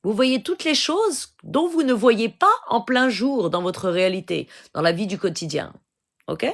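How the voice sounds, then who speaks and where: A woman's voice: fairly high pitch, lively intonation, unhurried. A middle-aged woman with a Moroccan accent, hosting a live stream and advising viewers, peppering her talk with "euh" and "d'accord?" asides. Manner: code-switching, frequent "euh" and "d'accord?" asides.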